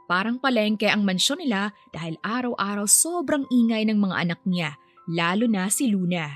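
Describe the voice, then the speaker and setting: A woman's voice: medium pitch, animated, moderate pace, soft background music. A woman giving scripted narration of a story.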